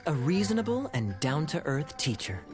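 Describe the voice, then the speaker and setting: A man voicing a suave, sly, self-assured young man in an anime video game, speaking in a measured, wry way. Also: a little high, sing-song, music in the background. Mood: cool, sly.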